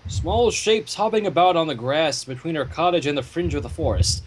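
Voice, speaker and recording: A man reading fan fiction aloud in an expressive way, with casual asides. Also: a little high, moderate pace. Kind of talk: story narration with casual commentary. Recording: home microphone with clear noise.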